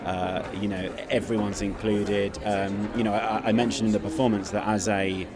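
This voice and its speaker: Male interviewee, low voice, halting and informal, busy crowd behind. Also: little pitch movement. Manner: hesitant, repeats words as he searches. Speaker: event organiser and performer. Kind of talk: casual interview answers.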